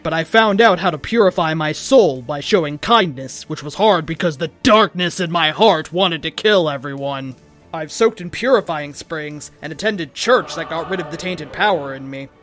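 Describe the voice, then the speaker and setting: A man's voice: a little high, animated, moderate pace, fairly bright tone. A man reading a fan fiction story aloud as host, in a dramatic narrating style. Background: background music.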